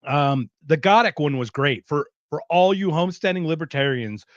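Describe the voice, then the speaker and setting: A man hosting a podcast, talking in a loose, rambling way with frequent restarts. His voice sits a little high for a man. The recording sounds dry and slightly dull. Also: expressive, moderate pace, no room sound. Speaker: podcast host. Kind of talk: casual chat.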